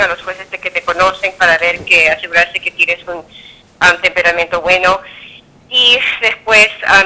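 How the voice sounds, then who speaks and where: A woman in her thirties from Peru, a judge answering interview questions in a halting way, with many fillers and self-corrections. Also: on the low side, sharp tone, rough recording.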